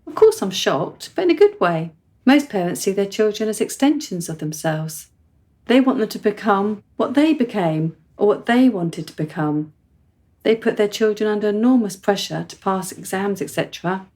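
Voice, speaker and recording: Precocious girl played by an adult woman, articulate, forthright and insistent, mid-pitched, for radio drama. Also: animated, moderate pace, darkish tone, natural voice. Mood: earnest. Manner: articulate, formal wording, insistent. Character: precocious, forthright, sharply probing young girl. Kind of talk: radio play acting.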